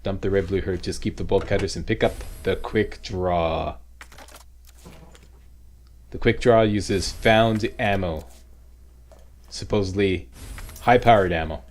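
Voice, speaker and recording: A man's voice: deep voice, a little slow, long pauses. A Canadian man giving informal, instructional commentary over a video game walkthrough. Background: game sound.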